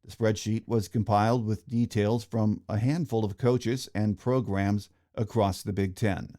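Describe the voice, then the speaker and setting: A man's voice: on the low side, steady tone, unhurried, warm tone. An American man hosting a sports podcast, reading scripted news and introductions.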